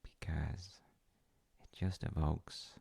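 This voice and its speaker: Guy playing a wise gentle dad telling a story, soft mellow husky voice, super close and dry, pauses a lot. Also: flat delivery, no echo, whispered, heavily acted. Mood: soft, earnest storytelling. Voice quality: very deep, gravelly, breathy. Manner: tender, hesitant, pausing between short phrases. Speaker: audio roleplay performer. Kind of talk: intimate audio roleplay, improvised-sounding storytelling.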